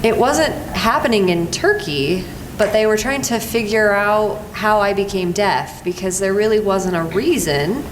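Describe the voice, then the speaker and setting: A middle-aged woman speaking on a live panel in a measured, explanatory way. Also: medium pitch. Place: college event room. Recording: echoey room with background noise.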